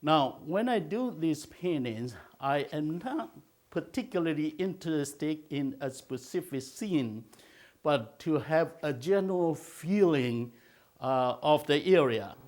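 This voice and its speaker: An older man from China, raised in Malaysia, teaching a watercolor technique in a slow, halting way with many filler sounds. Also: fairly high pitch, expressive, darkish tone. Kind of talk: instructional video talk.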